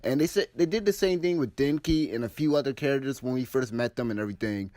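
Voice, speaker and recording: A man with a scratchy, cold-roughened throat talks through his opinions in a loose, rambling way, often circling back and restarting his sentences. His voice sits at an ordinary middle pitch. The sound is close and dry, with no room around it. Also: fast talker, mellow, dry recording. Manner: rambling, many restarts and fillers. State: hoarse with a cold and sore throat. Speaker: anime commentary host. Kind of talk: casual video commentary.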